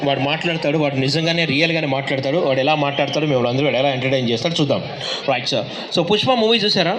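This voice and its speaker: A man's voice: on the high side, racing. A man who performs mimicry, chatting with the audience from the stage at a carnival. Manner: chatty, mixing in English words. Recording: live carnival crowd and noise.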